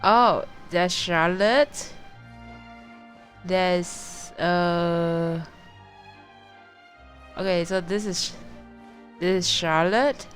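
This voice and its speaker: A woman's voice: lowish voice, steady tone, drawn-out words, long pauses. A woman chatting casually and a little hesitantly to viewers as she streams a video game. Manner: hesitant, repeats words, chats to viewers. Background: faint game music.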